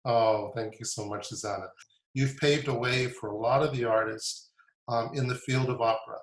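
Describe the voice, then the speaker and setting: A man's voice: mid-range voice, fairly even intonation, normal speed, echoey room, rough recording. A man hosting a video interview, asking questions with frequent ums and short affirmations. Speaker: interviewer and artistic director. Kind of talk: friendly interview host.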